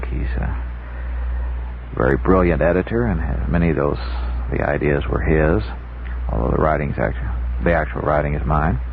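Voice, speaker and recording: A man being interviewed as an author on a radio show, talking in a casual, halting and reflective way. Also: deep voice. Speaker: guest author. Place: 1960s radio studio. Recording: muffled phone-like old radio recording, faint noise.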